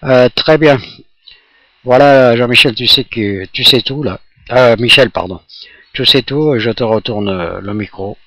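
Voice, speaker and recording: A man's voice: medium pitch, measured pace, sharp tone, no echo, muffled recording. A jovial man chatting casually as an amateur radio operator, talking in a rambling, hesitant way.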